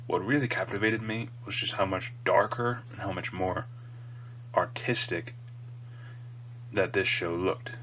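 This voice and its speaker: Low-pitched man, sniffly with a cold. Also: a bit bright. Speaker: video host reviewing a cartoon. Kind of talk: casual rambling review to the audience. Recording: dull lo-fi mic with audible hum.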